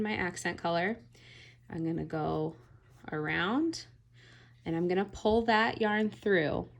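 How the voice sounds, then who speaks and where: A woman giving a relaxed, step-by-step knitting tutorial, often checking in with "all right?". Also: on the low side, creaky. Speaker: knitting instructor.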